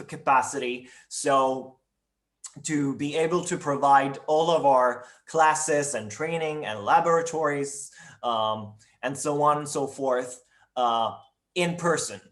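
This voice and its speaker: Man giving a measured admissions webinar talk. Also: on the high side, fairly bright tone. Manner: measured, with frequent um and uh fillers. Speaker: university admissions presenter. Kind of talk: informational webinar presentation. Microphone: video call.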